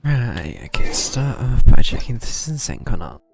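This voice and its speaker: Creaky-voiced man streaming a game. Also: medium pitch, big pitch swings, normal speed, darkish tone, soft background music. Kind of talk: casual live stream commentary.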